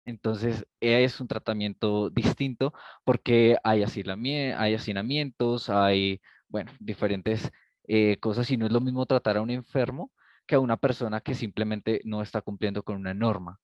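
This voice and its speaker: Man, lower voice, thoughtful and halting, explaining on a dry, dull call line. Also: fairly even intonation, darkish tone, no room sound. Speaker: online event attendee. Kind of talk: discussion comment in a video call. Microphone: video call.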